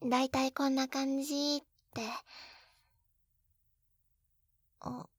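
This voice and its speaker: A woman's voice: high voice, flat delivery, normal speed, no room sound, studio quality, lightly acted. A woman playing an eager, clumsy, sweetly pouty young wife in an intimate binaural roleplay, with a cutesy, flustered delivery. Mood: defensive, pouty. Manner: cutesy, flustered, pouting, hesitant little gasps. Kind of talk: Japanese situation-voice roleplay, intimate ASMR audio drama. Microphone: close binaural 3Dio microphone.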